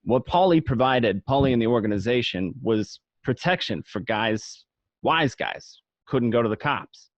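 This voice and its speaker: A man's voice: mid-range voice, animated, a little slow, no room sound, lightly acted. Guy playing cocky young mob guy telling his story for a radio play, colloquial, dry lo-fi home mic. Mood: admiring, explanatory. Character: young wise guy recalling his mobster youth, cocky. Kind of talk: first-person narration in an amateur radio play.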